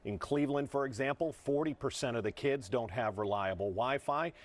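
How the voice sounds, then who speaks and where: A man's voice: mid-range voice, a little fast. An American man reports for TV news in a mid-range voice. He explains the story point by point in a live-report style.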